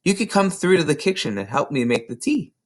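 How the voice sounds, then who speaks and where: A man voicing a gentle, reserved woman schoolteacher with a slow, deliberate, poetic way of speaking. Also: on the high side, sing-song, voice pushed up. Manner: slow, deliberate verse-like delivery.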